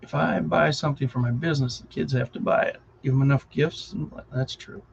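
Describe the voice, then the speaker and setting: A man's voice: mid-range voice, steady tone, fairly quick, vocal fry, highs cut off, a little hiss or hum. A middle-aged man with a Wisconsin accent, chatting casually and rambling on a live stream, often distracted and chuckling.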